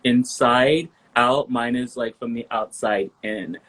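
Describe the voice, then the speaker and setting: A man's voice: mid-range voice, slow, fairly bright tone. Man, 30s, from Liberia, interview guest, casual, giggly, filler words. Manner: upbeat and giggly, many ums and likes.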